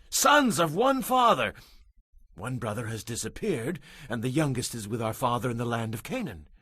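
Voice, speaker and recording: A man's voice: fairly high pitch, fairly bright tone, no room sound, clean studio sound. A man playing an anxious, humble brother from Canaan, pleading earnestly in a dramatized audio Bible.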